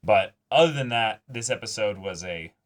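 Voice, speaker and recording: Man, lowish voice, casual anime discussion, halting, thinking aloud, sweary, co-host. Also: animated. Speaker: reaction show co-host.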